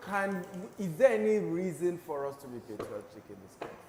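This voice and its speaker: A man's voice: a little high, big pitch swings, fairly quick. Nigerian man hosting, echoey studio. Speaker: talk show host. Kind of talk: panel discussion moderating on a TV talk show. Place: TV studio with audience. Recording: echoey studio with audience noise.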